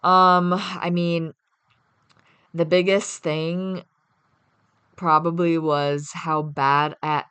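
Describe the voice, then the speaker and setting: Low, slow-talking young woman from Brazil. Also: clear, resonant tone, no room sound. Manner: laughs often, hesitant fillers. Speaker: interview guest. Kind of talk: casual interview chat.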